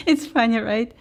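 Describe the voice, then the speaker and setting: A woman with a Russian accent hosting a casual trading livestream, chatting informally and commentating in slightly non-native English. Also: fairly high pitch. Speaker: host, futures day trader.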